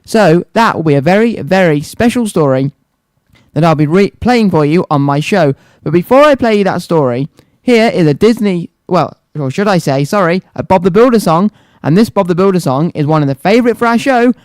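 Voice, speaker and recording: A man's voice: high voice, animated, fairly quick, a little mellow, dry recording. A man presenting a children's radio show, speaking directly to young listeners with repeated phrases and questions. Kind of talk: scripted-sounding live radio presenting to children.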